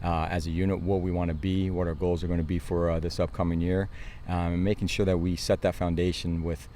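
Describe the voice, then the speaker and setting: Deep-voiced American football coach. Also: male voice, level tone, fairly quick, mellow, slight background noise. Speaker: football coach, interview guest. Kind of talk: casual interview answers.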